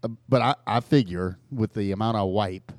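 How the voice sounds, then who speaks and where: A man with a slightly low voice co-hosts a casual comic podcast. His humor is crude and tongue-in-cheek, and the recording is dry and close. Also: normal speed, darkish tone, dry recording. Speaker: podcast co-host.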